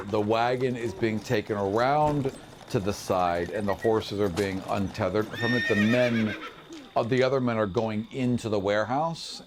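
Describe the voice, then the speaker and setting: A man's voice: lowish voice, slight background noise. A man improvising narration as game master of a tabletop fantasy game.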